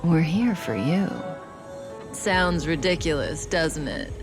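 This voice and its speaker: An enigmatic outlaw woman in a video game cutscene. She speaks very slowly in a fairly low register, composed and knowing, with a coy edge. Music plays clearly behind her. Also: very animated, full character voice. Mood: teasing, knowing. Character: enigmatic, composed adult woman, teasing elite outlaw schemer.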